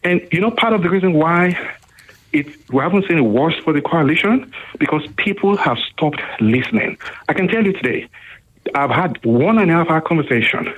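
Husky-voiced man calling in over a phone line. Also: on the high side, expressive, moderate pace. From born abroad. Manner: earnest, building emphasis. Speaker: caller. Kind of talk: talkback radio call.

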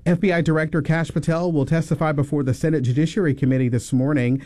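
A man reading a scripted local newscast in an even, measured way. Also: fairly high pitch. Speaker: news anchor.

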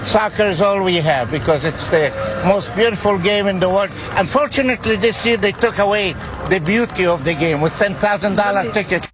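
A man's voice: sits high, brisk, poor audio. An older man from the Middle East, a soccer fan interviewed outdoors, speaking earnestly and with passion. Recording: outdoor street noise.

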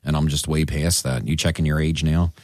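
A man's voice: a bass voice, fairly even intonation, a little fast, darkish tone, no room sound. A man, a doctor co-hosting a casual radio chat, joking and self-mocking. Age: 50s.

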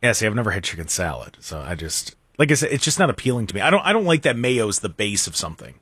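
A man's voice: fairly low pitch, big pitch swings, fast talker, fairly bright tone, husky, dry recording. A man hosting a talk radio show, bantering casually and teasingly and reading out texts from listeners. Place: radio studio.

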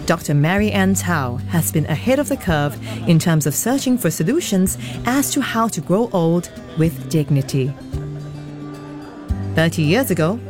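A woman who hosts the show, narrating from a script and interviewing her guest with warm, enthusiastic reactions. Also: on the low side. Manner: warmly enthusiastic, effusive thanks and reactions. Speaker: host and interviewer.

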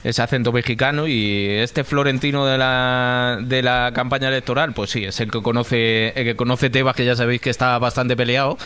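A man's voice: medium pitch, steady tone, moderate pace, hardly any pauses, fairly bright tone, smooth. A man reporting sports news to a talk panel in an informative, slightly wry way.

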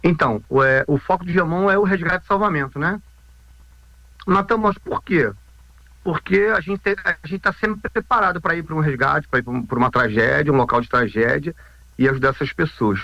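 A man's voice: on the high side, fairly quick, vocal fry, bright, forceful tone, no room sound, highs cut off. A man in his fifties with a Rio de Janeiro Brazilian accent, speaking informally as an interview guest, repeating phrases and adding tag questions. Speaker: interview guest, volunteer rescue group founder.

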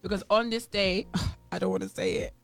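A woman's voice: medium pitch, very animated, a little fast. A woman with a Bahamian accent co-hosts a casual show in a playful, theatrical way. Her voice sits in a middle register, and faint music plays underneath. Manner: playful, dramatic storyteller. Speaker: co-host. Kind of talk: casual chat.

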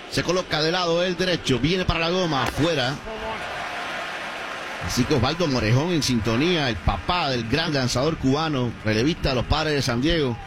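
Excited high-voiced baseball announcer. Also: male voice, noisy background. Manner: brisk, rising to shouts on plays. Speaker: play-by-play announcer. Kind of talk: baseball radio commentary.

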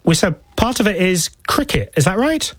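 A man's voice: high-pitched, big pitch swings, normal speed, no room sound. A man presenting a news programme from a studio, introducing items and interviewing guests. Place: radio studio.

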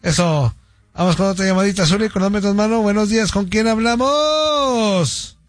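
A man's voice: sits high, normal speed, dry recording, studio quality. A Mexican man hosting a sports call-in radio show with playful, slangy, teasing banter. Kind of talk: casual joking banter.

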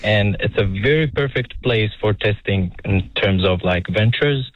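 A young man from Saudi Arabia, calling in to a radio show, talking earnestly and haltingly. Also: fairly low pitch, no echo. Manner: earnest, halting, restarts phrases. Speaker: caller. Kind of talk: casual phone-in chat. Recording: muffled phone-in line.

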